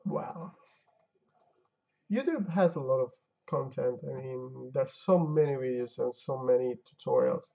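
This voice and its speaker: Tired, gravelly-voiced game developer streaming. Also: male voice, mid-range voice, mellow. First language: Albanian. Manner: muttering to himself while testing, talking to chat. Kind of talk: casual live-stream chat while coding. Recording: dull lo-fi stream audio.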